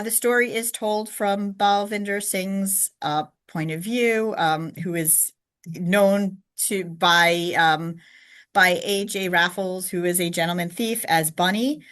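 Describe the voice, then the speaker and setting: A woman's voice: medium pitch, a bit bright. An American woman hosting a podcast, asking questions in a rambling way with many fillers and self-corrections. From United States. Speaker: podcast host. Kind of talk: interview questions.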